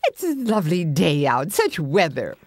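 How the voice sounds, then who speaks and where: An older actress playing a worried, sensible, doubtful sister in an audio play, with anxious, expressive delivery. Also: female voice, low-pitched, moderate pace, exaggerated voice. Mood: wry, polite. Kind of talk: audio play acting, dialogue and inner monologue. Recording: echoey, long reverb.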